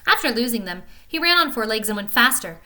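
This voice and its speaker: Bright, animated woman reading a children's story. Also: a little high, fairly quick. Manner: expressive, child-directed delivery. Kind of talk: read-aloud children's storybook narration with casual asides.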